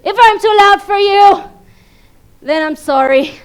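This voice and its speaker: A Ugandan woman performing a theatrical comic monologue, emphatic and dramatic, swinging between hushed and loud delivery. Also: extremely high pitch, expressive, measured pace. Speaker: competition contestant performing a monologue. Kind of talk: theatrical comedic stage monologue.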